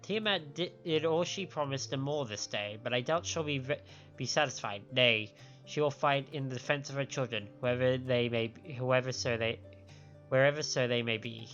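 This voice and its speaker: A man's voice: mid-range voice, moderate pace, fairly bright tone, dull audio. A man on a live game stream, reading dialogue aloud with stumbles over the words and reacting to it. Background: game music.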